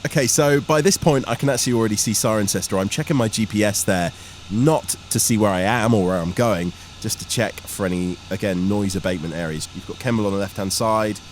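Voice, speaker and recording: A man's voice: on the low side, brisk. A man giving an informal, enthusiastic flying commentary as a student pilot vlogger. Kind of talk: vlog commentary on a flight. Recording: engine and aircraft noise behind the voice. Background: aircraft engine noise.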